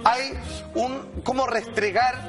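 Chileno de voz muy aguda, relato teatral. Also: male voice, extremely high pitch, steady tone, slow, over music. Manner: theatrical, slangy, calling for attention. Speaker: talk show presenter. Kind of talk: animated storytelling and commentary on cartoons.

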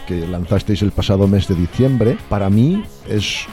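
A man hosts a radio music interview in a Spain accent. His voice is low and soft-edged, mellow in tone. Faint music plays behind him. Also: lively intonation, unhurried. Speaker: music show host and interviewer. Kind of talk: radio interview with spoken introduction.

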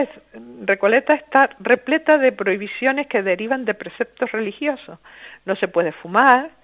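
Woman radio host over a tinny line. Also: mid-range voice. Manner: frequent restarts and self-corrections. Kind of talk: radio talk and reading. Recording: lo-fi phone-like radio sound.

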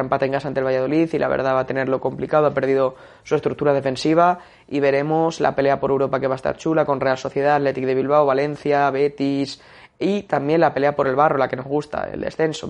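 Young Spaniard talking football in a flat voice. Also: male voice, mid-range voice, creaky. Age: young adult. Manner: steady, with frequent "eh" fillers. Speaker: football segment contributor. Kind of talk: casual radio-style football talk.

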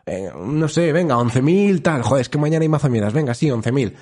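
A man's voice: medium pitch, animated, fairly quick, a little mellow. A man with a Spain Spanish accent co-hosting a casual podcast, telling a rambling, jokey story and laughing often. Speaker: podcast co-host. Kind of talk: casual chat.

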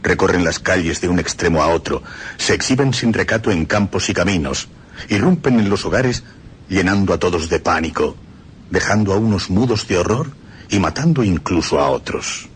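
A man reading historical texts aloud on a radio program in a grave, deliberate recitation. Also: low-pitched, expressive, a little hiss or hum. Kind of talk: solemn scripted reading.

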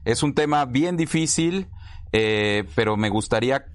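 Un hombre con acento mexicano, coanfitrión, que pregunta con titubeos y algo de broma. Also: male voice, medium pitch, animated, unhurried, dry recording. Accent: Mexican Spanish. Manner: joking, hesitant questions. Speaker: co-host. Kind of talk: casual interview.

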